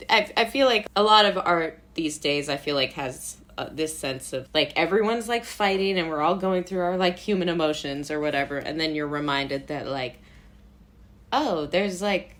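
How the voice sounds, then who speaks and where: An American woman with a fairly deep voice chats informally as a guest. Her talk rambles, peppered with "like", as she interrupts herself and circles around her point in an easygoing conversational style. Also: normal speed. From Brooklyn, New York, USA. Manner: rambling, animated asides with frequent "like" and self-interrupting laughs. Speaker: playwright, director and actor, interview guest.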